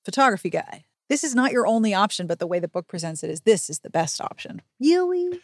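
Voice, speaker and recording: Woman podcaster talking books, mid pitch, really dry sound, informal and animated. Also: quick pace, dry recording. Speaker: podcast host. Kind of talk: casual book discussion.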